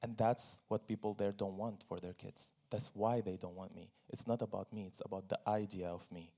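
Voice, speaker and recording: A man's voice: fairly low pitch, steady tone, moderate pace, gravelly, warm tone. Earnest man telling his own story. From Syria. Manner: earnest, laughs between phrases, repeats for emphasis. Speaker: guest speaker, dancer. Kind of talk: stage conversation, personal story. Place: stage at a live forum.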